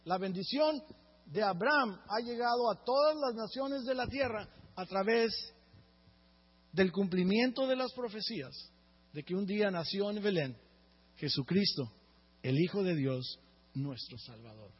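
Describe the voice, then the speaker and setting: A man preaching a sermon, his voice sitting high and a little husky. He keeps turning to the congregation for agreement as he talks. The sound is dull and lo-fi, with long reverb from a big hall. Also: animated, measured pace. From Mexico. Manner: earnest preaching, often asks the congregation "right?". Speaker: pastor.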